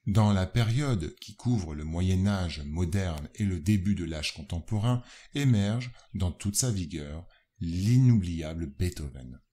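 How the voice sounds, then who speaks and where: A man reading scripted educational narration in a formal, measured, literary manner. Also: low-pitched, lively intonation, dark tone. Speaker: narrator of a spiritist study guide.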